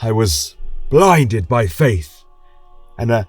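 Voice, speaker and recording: Man voicing a rough, impassioned warrior king, echoey. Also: medium pitch, big pitch swings, slow pace. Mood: earnest, resolute. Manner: solemn, declamatory oratory. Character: weary, passionate warrior king addressing his people. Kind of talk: fantasy audio drama speech. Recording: echoey room, music behind. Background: choral music.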